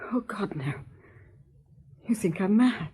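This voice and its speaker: An actress playing a wealthy wife accused of murder in a radio drama, haughty and defensive. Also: female voice, mid-range voice, expressive, slow pace, warm tone, slightly rough, full character voice. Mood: haughty defiance. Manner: haughty, then frightened. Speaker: suspect. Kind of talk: 1970s BBC radio drama acting.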